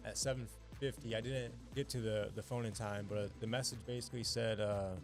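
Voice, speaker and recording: Fast-talking chatty male podcast host. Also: on the low side, steady tone, over music. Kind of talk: casual chat.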